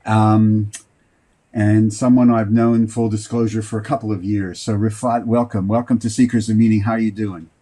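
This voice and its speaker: A man hosting an interview in a conversational, somewhat halting and roundabout way. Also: fairly low pitch, little pitch movement, warm tone. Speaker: talk show host, interviewer.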